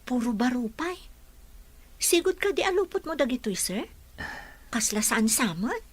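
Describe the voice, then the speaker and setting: A woman's voice: sits high, very animated, a little slow, husky, heavily acted. A woman voicing a young caregiver in a radio drama, polite and curious. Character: attentive young female caregiver. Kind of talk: Ilocano radio drama acting.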